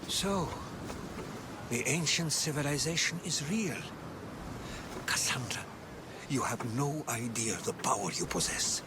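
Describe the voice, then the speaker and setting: A man's voice: medium pitch, unhurried, pauses often, noisy background, lightly acted. Man playing an earnest older scholar. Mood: curious, concerned. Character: inquisitive, earnest older male Greek historian and companion.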